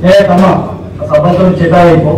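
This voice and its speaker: A man's voice: high-pitched, fairly even intonation, normal speed, a little mellow, distant mic, close to an everyday voice. A man playing a cautious, protective coal miner in a melodramatic stage play, speaking with urgent emphasis. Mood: cautioning. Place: open-air stage, distant camera. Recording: echoey stage from afar, faint noise.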